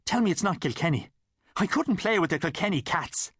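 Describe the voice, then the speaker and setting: A man's voice: high voice, lively intonation, fairly quick, a bit bright, breathy edge, no room sound, studio quality, voice pushed up, heavily acted. A man voicing a cheeky, sporty schoolboy with a blunt, colloquial way of talking, in an audiobook. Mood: dismayed.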